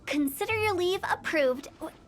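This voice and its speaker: A woman's voice: extremely high pitch, animated, normal speed. A woman playing a mischievous, cheerful and morbid young funeral director in a video game, with a playful, teasing manner. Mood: brisk, cheeky. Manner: playful, teasing, theatrically cheeky. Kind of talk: English video game voice acting.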